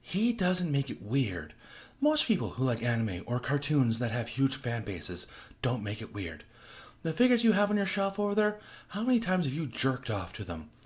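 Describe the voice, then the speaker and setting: A man's voice: medium pitch, wildly swooping pitch, normal speed, pitched above the performer's own voice. Man voicing a scornful, defiant captive woman. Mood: mocking. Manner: cutting, scornful, blunt. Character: defiant captive young woman, sharp-tongued.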